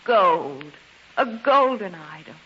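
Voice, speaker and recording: Sad young maiden, earnest, slow, bright voice, hissy old radio. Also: female voice, medium pitch, sing-song. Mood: reproachful. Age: young adult. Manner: earnest, mournful. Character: sorrowful, resolute young maiden leaving her fiancé. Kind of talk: 1940s radio drama acting. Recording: dull hissy 1940s radio recording.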